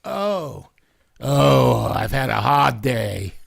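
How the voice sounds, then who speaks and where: A woman voicing a grumpy older father in a vintage-style radio play, gruff and stammering. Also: extremely low pitch, very animated, very slow, full character voice. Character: grumpy older father, sneezy, hates roses. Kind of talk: 1940s radio acting.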